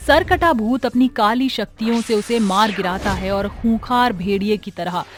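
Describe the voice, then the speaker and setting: A woman's voice: mid-range voice, normal speed, a little hiss or hum. A woman telling a horror story as a scripted, dramatic narration. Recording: music under the voice.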